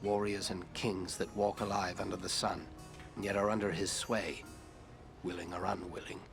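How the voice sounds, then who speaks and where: An actor playing a grave, learned lore-keeper in a fantasy video game, solemn and formal in measured phrases. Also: male voice, low-pitched, flat delivery, creaky. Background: music.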